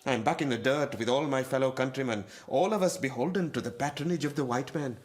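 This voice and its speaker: An actor playing an embittered moneylender in a radio drama, with a deliberate, insinuating, formally polite menace. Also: male voice, medium pitch, natural voice. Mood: bitter pleading. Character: embittered, menacing Indian moneylender and lowly clerk, a father.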